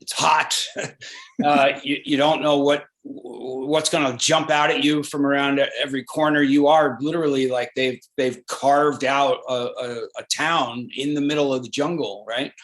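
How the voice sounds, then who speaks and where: An actor being interviewed, talking in a rambling, thoughtful way with many restarts. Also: male voice, mid-range voice, fairly even intonation, unhurried, a bit bright. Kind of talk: casual interview.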